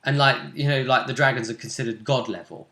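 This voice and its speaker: A man's voice: medium pitch, steady tone, fast talker, pushed and bright, echoey room. A man narrating and discussing a tabletop fantasy game as the game master, in an improvised, conversational way.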